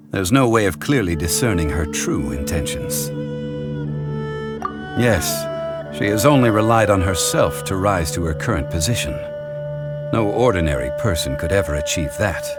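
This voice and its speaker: An actor playing a composed, learned advisor in a game who speaks in a measured, formal way, with game music behind. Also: male voice, low voice. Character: composed, learned man, a calm scholarly advisor.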